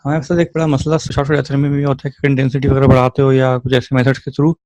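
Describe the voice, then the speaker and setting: A man's voice: mid-range voice, flat delivery, fast talker, a little mellow, no room sound. A man lecturing as a physiotherapy teacher, explaining things step by step in an informal way and often checking in with listeners.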